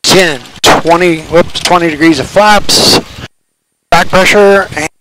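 A man's voice: high voice, slow pace. A middle-aged man narrating his own flight from the cockpit of a small plane, talking through each step with frequent fillers, over a headset mic. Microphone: aviation headset boom mic. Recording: engine noise in the background.

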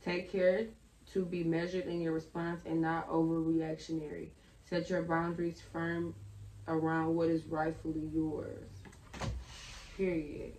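Slightly raspy young woman, roomy and distant. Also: low-pitched, monotone, a little slow, a little mellow, slight background noise. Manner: chatty, slangy, thinking aloud. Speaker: tarot reader. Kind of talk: casual tarot reading. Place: bedroom at a table. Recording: roomy, a bit far from the mic.

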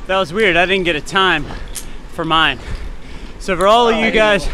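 A man's voice: sits high, moderate pace, noisy background. A man leading a group ride over voice chat, explaining the route and keeping the chatter in line. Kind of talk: casual voice chat during online ride.

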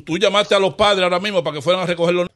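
A man's voice: sits high, steady tone, racing, fairly bright tone. A man making a prank phone call, posing as an official in an insistent, accusatory way and repeating himself. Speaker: prank caller, show host. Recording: noisy recorded phone call.

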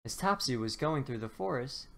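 A woman reading a children's bedtime story aloud in an expressive, deliberate storytelling manner. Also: extremely low pitch, gravelly.

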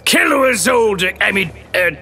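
Blustering male judge played by a man, anime parody, very high, gravelly, swooping delivery. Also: animated, measured pace, soft background music, heavily acted. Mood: pompous. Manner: pompous, shouty. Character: blustering, pompous male judge, easily exasperated.

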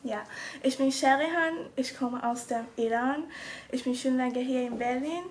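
A teenage girl playing a fifteen-year-old girl from Iran at a Berlin school, speaking simple, halting German. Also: sits high, natural voice. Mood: earnest. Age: teens. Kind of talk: school film acting. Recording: noisy outdoor schoolyard with crowd.

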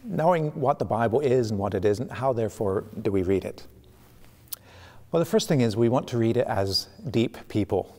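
A middle-aged man, preaching a sermon in a church sanctuary, animated and gesturing, often restarting his phrases. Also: medium pitch, normal speed. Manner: animated, gesturing with both hands, frequent restarts. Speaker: pastor. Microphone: camera at medium distance. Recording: long reverb of a church hall.

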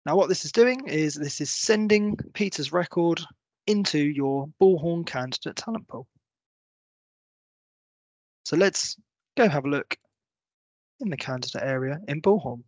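A man in his thirties with a slightly high voice narrates a screen-share tutorial. He explains each step in an orderly, instructional way. The sound is close and dry, a little dull, as if from a computer mic in a small room. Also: lively intonation, a little slow, long pauses, darkish tone, no echo. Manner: clear, step-by-step explanatory delivery. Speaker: company presenter giving a software walkthrough.

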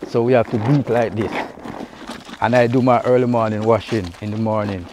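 Easygoing Jamaican man, low voice, outdoors. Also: steady tone, brisk, darkish tone, slight background noise. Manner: easygoing, repeats "you know" often. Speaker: vlogger. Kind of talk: casual how-to vlog. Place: outdoors by a river.

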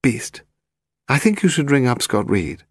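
A man voicing a refined, sharp-tongued wife who teases and frets in a reproachful way. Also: mid-range voice, big pitch swings, measured pace, husky, no echo, studio quality, pitched above the performer's own voice.

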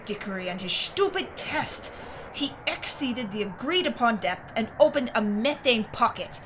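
An actress playing a brisk, secretive mining executive in an audio drama, speaking in a precise, formal way. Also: female voice, fairly high pitch, lively intonation, natural voice. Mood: brisk, explanatory. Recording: clear background noise and ambience.

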